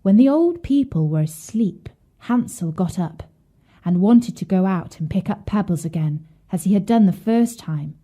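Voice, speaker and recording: A woman storyteller with a soft-edged, mellow tone and a medium pitch. She reads scripted bedtime narration for children. Her delivery is measured and expressive.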